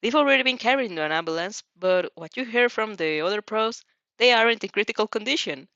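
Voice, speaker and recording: A woman reading a story aloud in an expressive, amused way, sometimes stumbling and rereading phrases. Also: on the high side, fast talker, no echo, dull audio. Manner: giggly, stumbles over phrases and rereads them. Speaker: fan fiction reader reacting as she reads.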